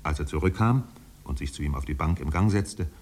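An actor playing a solemn literary storyteller, narrating a 1950s German radio drama in a measured, dramatic manner. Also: male voice, lively intonation, darkish tone, a little hiss or hum. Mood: measured, wistful. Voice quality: deep, husky.

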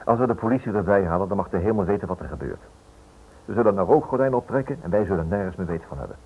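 A tinny old radio recording carries a Dutch writer, low and husky. He is stubborn and wry, probing with ironic, lively swings and small hesitations. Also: rapid-fire, full character voice. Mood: evasive. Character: stubborn, suspicious male writer, wry and defiant. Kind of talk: radio play acting.

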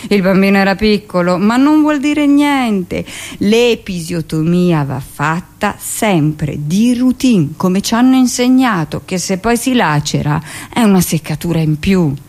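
A woman voicing an elderly male doctor in a radio monologue, paternal, pompous and authoritarian, with a drawn-out lecturing manner. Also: medium pitch, animated, a little mellow.

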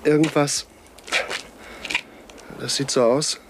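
An actor playing a stubborn, suspicious explorer in a 1970s German radio drama, speaking in terse, probing phrases. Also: male voice, on the high side, steady tone, slow, noisy background. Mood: wary, puzzled. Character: stubborn, suspicious male explorer alone on a deserted island.

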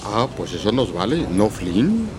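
A man's voice: on the low side, huge pitch swings, measured pace, a crowd in the background. A man acting a sentimental, hesitant travelling companion in an audio drama. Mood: doubtful. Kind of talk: Spanish audio fiction acting.

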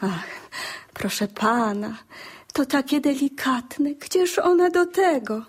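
A woman's voice: sits high, expressive, slow. An actress playing a fussy, deferential provincial wife and doting mother in a radio drama, flustered and full of exclamations. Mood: flustered, polite.

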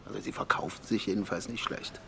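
An actor playing a middle-aged conservative politician in a radio drama, reserved and courteous, speaking in formal, hesitant phrases. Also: male voice, fairly low pitch, fairly even intonation, quick pace, creaky, sharp tone, a little hiss or hum. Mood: courteous, probing. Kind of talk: naturalistic radio drama dialogue.

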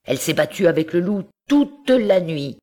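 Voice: medium pitch, sing-song, moderate pace, dark tone, voice pushed up. Reader playing an anxious old farmer, exclaiming, voice lifted. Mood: warning. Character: older male farmer, fretful and protective.

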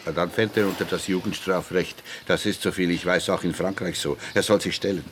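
An actor playing a gruff, brooding older police detective in a German radio play, terse and plain-spoken. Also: male voice, sits low, fairly even intonation, fairly quick, noisy background, natural voice. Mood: firm, matter-of-fact. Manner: terse, muttering to himself.

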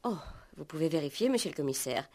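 An actress playing a composed, guarded young woman under police questioning in a 1960s French radio play, giving polite, measured, softly insistent answers. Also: deep voice, animated.

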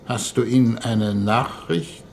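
A man's voice: medium pitch, takes it slowly, creaky, a little mellow. An actor in a 1950s German radio play, playing an uneasy, self-justifying government envoy, earnest and formal as he pleads with an old friend. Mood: awkward. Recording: faintly noisy 1950s radio recording.

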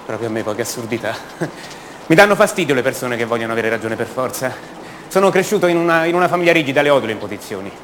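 A man's voice: medium pitch, lively intonation, fairly bright tone, slight background noise, close to an everyday voice. An actor playing a restless, incredulous ordinary man confiding his doubts in a conversational radio theatre monologue. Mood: irritated, reflective. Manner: conversational, halting repetitions.

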